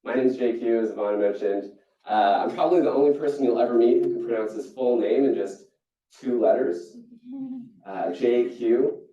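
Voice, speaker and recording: A young man from Singapore giving a keynote talk, telling stories in a lively way with many restarts. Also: moderate pace. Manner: storytelling, animated with jumps in pitch and frequent restarts. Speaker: keynote speaker. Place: conference hall. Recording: echoey hall, distant and dull.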